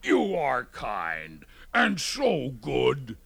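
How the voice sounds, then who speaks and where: A man's voice: medium pitch, huge pitch swings, drawn-out words, creaky, a bit bright, slightly rough, dry recording, full character voice. A man voicing a big, gullible, fearful old bear in a children's storybook, in a whimpering, pleading voice. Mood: grateful. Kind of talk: children's storybook character reading.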